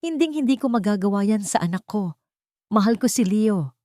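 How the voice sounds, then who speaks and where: A woman's voice: mid-range voice, animated, a little fast, mellow, dry recording, studio quality, voice pushed up, close to an everyday voice. A woman voicing a distraught mother and wife, pleading in shaken denial in a dramatized story. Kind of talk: audio drama storytelling in Tagalog.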